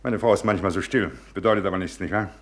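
An actor in a German radio play playing a self-assured husband and host, brisk, commanding and formally hospitable. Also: male voice, deep voice, lightly acted. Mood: hearty, hospitable.

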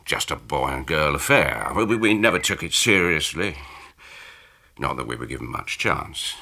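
A man's voice: rumbling low, lively intonation, moderate pace, vocal fry, pushed and bright, heavily acted. An actor playing a defensive, resentful middle-aged British businessman in a radio drama, with a hesitant, bitter manner. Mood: offhand.

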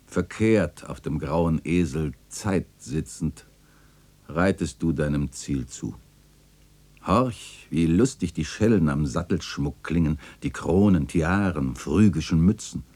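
An actor playing a melancholy old chronicler in a literary radio play, narrating in a wistful, theatrical and solemn way. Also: male voice, a bass voice, animated, takes it slowly, dark tone, breathy edge, heavily acted. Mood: solemn, declamatory. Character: melancholy old male chronicler remembering his neighbourhood and its dead.

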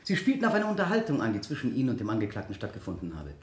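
A man's voice: on the low side, wildly swooping pitch, racing. A formal male examiner in a radio courtroom play. He questions methodically in short prompts, with widely varied intonation and a strong roomy echo. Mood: formal, probing. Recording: roomy 1970 radio drama studio.

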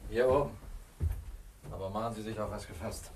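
Low-voiced police inspector played by a man. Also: brisk, background noise, close to an everyday voice. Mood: shaken. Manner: formal, polite, hesitant when shaken. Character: police inspector, a man, brisk but rattled and urgent. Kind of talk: German radio mystery play acting.

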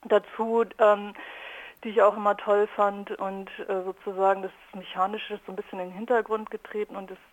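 Woman as interview guest, mid-pitched, thinking out loud, loose unscripted sentences. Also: flat delivery, a little fast, low-quality recording. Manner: reflective, thinks aloud in loosely linked clauses. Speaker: radio artist being interviewed. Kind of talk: spontaneous interview talk.

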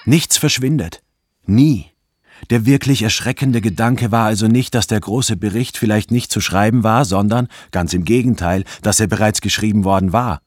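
A deep-pitched man played by an actor narrates a radio drama in the first person. He is a brooding, obsessive intellectual with a secretly rebellious streak, telling his story in literary prose. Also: moderate pace, dry recording, natural voice. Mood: intense, brooding. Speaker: narrator and protagonist.

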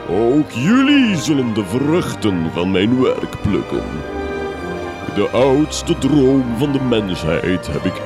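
An actor playing a pompous, secretive villainous father in a gothic horror comedy radio drama, declaiming theatrically and grandly. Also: medium pitch. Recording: loud music under the voice.

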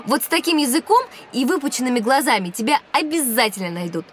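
A woman playing a cautious, sensible and somewhat bossy young schoolgirl in an educational children's audio drama, expressive and admonishing. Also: high-pitched, fast.

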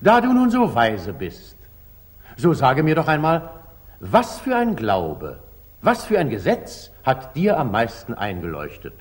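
An actor playing a commanding, probing medieval sultan in a declamatory 1940s German radio drama style. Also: male voice, medium pitch, huge pitch swings. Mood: brisk, demanding. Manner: commanding, declamatory, deliberate phrasing.

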